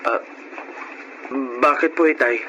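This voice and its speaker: A man's voice: a little high, takes it slowly, bright, forceful tone, lo-fi sound. A young male actor playing a dutiful grown son and elder brother in an emotive Filipino radio drama. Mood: defiant. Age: young adult. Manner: earnest, emotive. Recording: radio drama with faint background noise.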